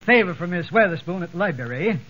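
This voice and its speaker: High-voiced dithering barber, old radio comedy. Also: male voice, lively intonation, quick pace, fairly bright tone. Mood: bumbling. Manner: muttering, repeats yeah and yes, bumbling. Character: dithering, chuckling small-town barber, absent-minded joker. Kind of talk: 1940s radio situation comedy acting. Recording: noisy muffled 1940s radio recording.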